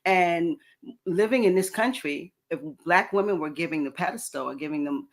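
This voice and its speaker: Female radio host, low voice, encouraging and affirming. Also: moderate pace. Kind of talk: casual interview chat.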